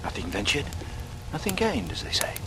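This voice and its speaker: A man's voice: medium pitch, huge pitch swings, fairly quick. An actor playing a cocky young man in a radio drama, glib and breezy with mock politeness. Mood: mock-polite. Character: cocky, smooth-talking young working-class man, slyly charming. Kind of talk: 1940s radio acting. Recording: noisy vintage radio recording.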